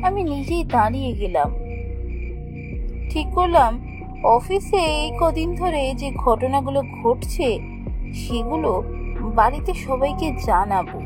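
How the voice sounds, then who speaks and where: A woman's voice: high-pitched, lively intonation, a little slow, long pauses, music in the background. A woman voicing an anxious young female office worker, telling a cartoon ghost story in a dramatic, hesitant way. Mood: worried. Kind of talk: first-person cartoon ghost story narration.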